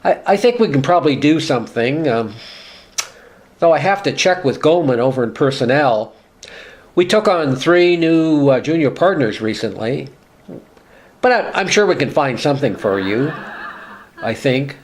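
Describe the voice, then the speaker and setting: A man's voice: mid-range voice, animated, moderate pace, long pauses, lightly acted. A man playing a forgetful, genial law-firm boss in a radio sitcom, hesitating and repeating himself. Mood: befuddled, genial. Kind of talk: sitcom-style radio play dialogue.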